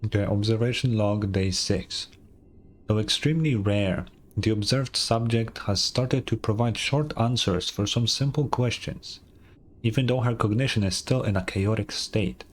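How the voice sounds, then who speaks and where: A man's voice: fairly low pitch, moderate pace, a little hiss or hum. A man doing casual, sweary commentary as he streams a game, sometimes reading its text aloud. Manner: sweary, offhand.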